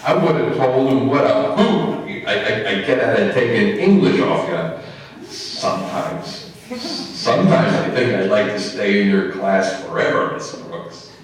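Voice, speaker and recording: A man acting a slow-witted, good-natured high school student with halting, ungrammatical speech in a radio comedy. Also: lively intonation, close to an everyday voice. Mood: dopey, earnest. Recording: very echoey, distant room recording.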